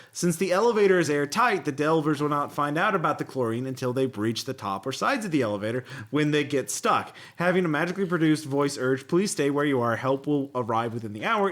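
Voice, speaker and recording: A man's voice: mid-range voice, fairly bright tone. Man casually hosting a tabletop podcast. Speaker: podcast host. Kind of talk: casual chat, reading game text aloud with commentary.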